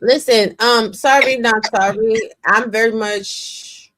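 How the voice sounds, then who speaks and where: A woman's voice: on the high side, fairly even intonation, slow pace, a bit bright, poor audio. A woman making her points with emphasis, drawing out her phrases and lecturing in a casual discussion.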